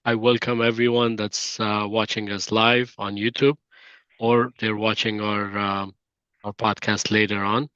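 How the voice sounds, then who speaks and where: Flat-voiced man hosting a podcast. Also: on the low side, no echo, lo-fi sound. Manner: halting, many "um" and "uh" fillers. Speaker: podcast host. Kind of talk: podcast interview.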